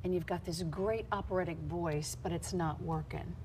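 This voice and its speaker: A woman hosting a TV studio interview, briskly leading her guest through his story with questions. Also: lowish voice, fairly even intonation, a little mellow, a little hiss or hum, rough recording. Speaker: TV interview host.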